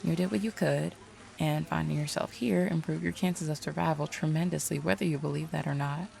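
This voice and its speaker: A woman's voice: sits low, fairly even intonation, fairly quick, vocal fry, a little mellow. A woman playing a confident, streetwise supernatural detective in an audio roleplay, drawling folksy slang in a teasing way. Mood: blunt, knowing.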